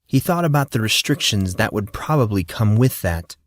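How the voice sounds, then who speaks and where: A man's voice: fairly low pitch, lively intonation, normal speed, no echo, studio quality. A man reading scripted fiction as an audiobook narrator.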